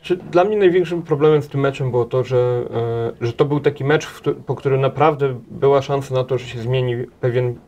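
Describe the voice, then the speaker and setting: A man in his thirties answering questions in a studio interview, talking in halting runs with many restarts and repeated words, recorded on a table mic in a small TV studio. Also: medium pitch, steady tone, mellow. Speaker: interview guest, head of a transport association.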